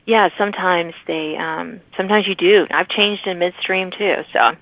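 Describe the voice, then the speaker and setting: A woman's voice: fairly low pitch, steady tone, moderate pace, vocal fry, no echo. Woman podcast host, phone-like sound. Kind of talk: casual author interview. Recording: thin phone-like audio.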